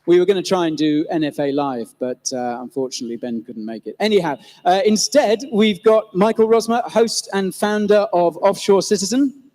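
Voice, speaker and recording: A man moderates a live panel before an audience, his voice riding high. It rings with the long reverb of a large venue. He introduces guests with loose, self-correcting phrasing. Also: moderate pace, a little mellow. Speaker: host and moderator.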